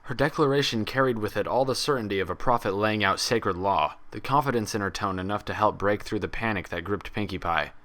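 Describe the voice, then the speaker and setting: Low-pitched man reading a dramatic story. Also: fairly quick, hardly any pauses, fairly bright tone. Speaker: fan fiction reader. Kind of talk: dramatic scripted story narration.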